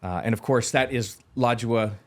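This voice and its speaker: A man's voice: mid-range voice, normal speed. A man hosting a podcast, asking eager, wordy questions that often restart mid-sentence. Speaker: podcast host. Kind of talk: casual interview chat.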